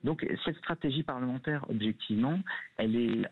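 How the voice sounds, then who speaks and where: Guy explaining politics on a radio panel, quick and husky, bit lo-fi sounding. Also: medium pitch. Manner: explanatory, colloquial, structured. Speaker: guest political scientist and law lecturer. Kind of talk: political analysis in a radio discussion. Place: radio studio.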